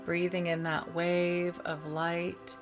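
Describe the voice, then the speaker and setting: A woman leading a guided meditation, giving soothing, measured instructions with long pauses between phrases. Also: fairly low pitch, flat delivery. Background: meditation music.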